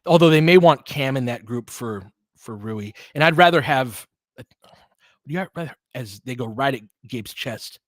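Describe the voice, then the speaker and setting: A man gives casual live basketball commentary as an openly biased fan. His medium-pitched voice moves in lively swings between low asides and emphatic calls. Phrases are short and informal, and the sound is dry, with hardly any room to it. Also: normal speed, dry recording. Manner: clipped phrases, informal, reacts emotionally to plays. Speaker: play-by-play commentator and host.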